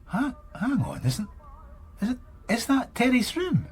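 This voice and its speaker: An actor playing a weary middle-aged police detective in a radio drama, with a Scottish accent and a dry, wry manner. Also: male voice, very high voice, huge pitch swings, measured pace, husky, soft background music, exaggerated voice. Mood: puzzled.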